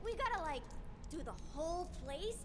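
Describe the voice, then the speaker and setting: A woman voicing a cheerful, chatty young girl in a video game, excitable and playful. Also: very high-pitched, normal speed, background noise. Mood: upbeat. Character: cheerful, chatty young girl who loves paint and play. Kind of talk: video game character dialogue. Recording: music behind the voice.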